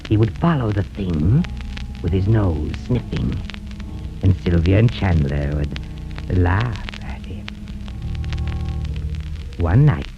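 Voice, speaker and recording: Sinister, swooping horror storyteller, old radio. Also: male voice, low-pitched, animated, vocal fry, lo-fi sound, over music, heavily acted. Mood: hushed, ominous. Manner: theatrical, drawn-out dramatic delivery with macabre relish. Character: gleefully sinister cackling horror storyteller, relishing the macabre. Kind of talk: 1930s radio horror narration. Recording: old band-limited 1930s radio recording.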